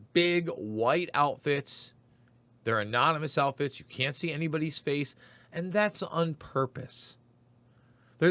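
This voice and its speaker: Raspy-voiced ranting male host. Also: medium pitch, fairly bright tone, no echo. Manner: emphatic, ranting delivery. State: hoarse. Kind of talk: political commentary.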